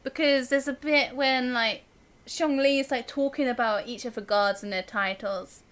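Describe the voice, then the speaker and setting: Girly high bright voice, woman streamer chatting casually over a game, thinking out loud, kinda giggly. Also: fairly even intonation, normal speed. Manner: giggly, musing aloud, frequent "like". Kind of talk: casual live gameplay commentary.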